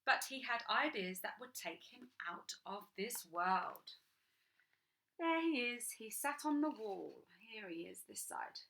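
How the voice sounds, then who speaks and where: A young woman reads a children's picture book aloud. Her voice is bright and fairly high, with big, lively swings in pitch. She talks in a sing-song, animated way and drops into hushed whispers for asides. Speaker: children's storyteller.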